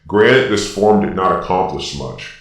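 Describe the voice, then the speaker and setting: Male commentator, very low, robot-filtered, distant and echo-heavy; emphatic, opinionated explainer. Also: expressive, a little slow, creaky. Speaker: video host. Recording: very echoey, distant sound.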